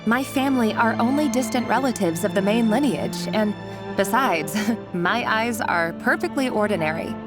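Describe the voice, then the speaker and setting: An actress playing an earnest, secretive and protective young woman in a video game, measured and resolute over background music. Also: fairly high pitch, close to an everyday voice. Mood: earnest, guarded. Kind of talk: scripted video game voice acting. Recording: game dialogue with music underneath.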